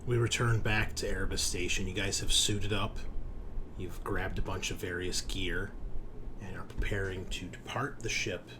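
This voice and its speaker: Man, low voice, game master, casual chat and narration, informal, noisy room. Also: fairly even intonation, normal speed.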